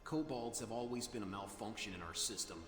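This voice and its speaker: A man voicing a solemn, logical machine-being guarding a tomb in a video game, speaking formally and gravely. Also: fairly low pitch, fairly quick, fairly bright tone. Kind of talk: video game quest dialogue. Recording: long hall reverb, music behind. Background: game music.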